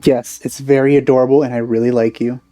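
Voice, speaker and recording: A man's voice: medium pitch, level tone, fast, dark tone. A man giving casual, informal, rambling gameplay reactions as a let's play host, gushing as he talks.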